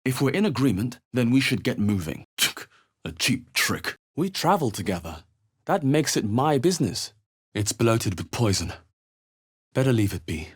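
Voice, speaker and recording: An actor playing a wry, watchful male fantasy adventurer in a video game, with a husky, dry, understated delivery. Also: medium pitch, sing-song, normal speed. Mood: matter-of-fact. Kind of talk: video game character voice lines, short reactions.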